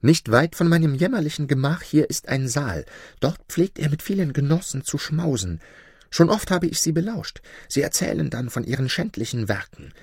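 Sorrowful enchanted owl princess, put on by a man, pitched high, dry close sound. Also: lively intonation, normal speed, breathy edge, dry recording. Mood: hopeful, pleading. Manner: plaintive, earnest storytelling.

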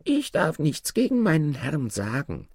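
A man voicing a polite, fussy dwarf servant of a magic ring in a fairy tale, with a drawn-out, singsong delivery. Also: a little high, huge pitch swings, darkish tone, slightly rough, dry recording, studio quality, pitched above the performer's own voice, full character voice. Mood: explaining.

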